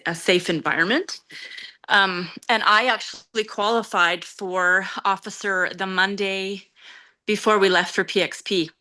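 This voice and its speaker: A woman with a head cold, a little croaky, sincerely sharing thoughts on a video call and pausing to find her words. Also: medium pitch, pushed and bright. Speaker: team member on a call.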